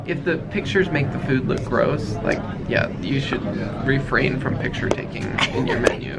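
A young man hosting a casual vlog, joking with animated emphasis to a handheld camera in a restaurant booth. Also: mid-range voice, moderate pace, creaky, very noisy. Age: young adult. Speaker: vlog host. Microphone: handheld camera at arm's length. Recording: loud restaurant crowd behind.

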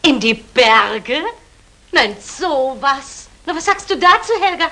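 A woman's voice: high voice, very animated, slow, bright, forceful tone, exaggerated voice. An actress playing a chatty, coaxing middle-aged mother in a vintage German radio comedy. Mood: coaxing, flattered. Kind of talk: 1960 German radio comedy crime play.

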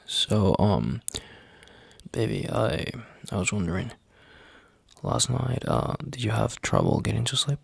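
A man playing a tender, anxious young boyfriend in a roleplay ASMR audio, husky and halting in his speech. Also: lowish voice, big pitch swings, dry recording, exaggerated voice. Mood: tentative, concerned. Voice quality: husky, gravelly. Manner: halting, hesitant, soft-spoken, sighing.

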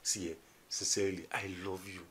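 A sleazy Nigerian teacher. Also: male voice, deep voice, little pitch movement, fairly quick, fairly bright tone, faint music behind. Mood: coaxing. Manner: coaxing, repeats "eh?". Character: lecherous male teacher, smooth-talking and pushy. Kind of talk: film acting.

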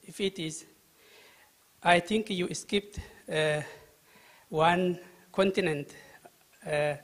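An older man from Ethiopia asks a long question from the floor in a vaulted hall. His voice sits fairly high and he talks slowly, stopping often on filler sounds while pressing his point earnestly into a handheld mic. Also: a bit bright. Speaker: audience member asking a question. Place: vaulted conference hall with audience. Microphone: handheld microphone.

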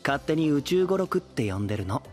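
A male actor playing a serene, lofty young idol in an audio drama, gentle and composed. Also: on the high side, sing-song, brisk, soft background music. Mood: serene, affectionate. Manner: gentle, composed, refers to himself by name.

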